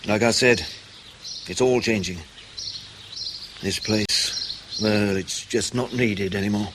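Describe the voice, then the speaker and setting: An actor playing a wry British intelligence officer in a radio drama, questioning in a measured, pressing way with dry understatement. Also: male voice, fairly low pitch. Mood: wry, resigned. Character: probing, wry British male intelligence officer debriefing an agent. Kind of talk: naturalistic radio drama acting. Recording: clear ambient noise behind the voice.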